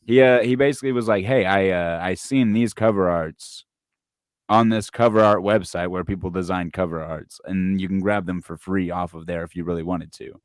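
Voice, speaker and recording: A young man with a very low, deep voice talks in a relaxed, easygoing way, dropping swear words and chuckling between thoughts. He sounds close to the mic in a dry, dead room. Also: normal speed, no room sound. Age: 20s. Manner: laid-back, swears freely, laughs often. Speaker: podcast co-host, musician. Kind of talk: casual chat.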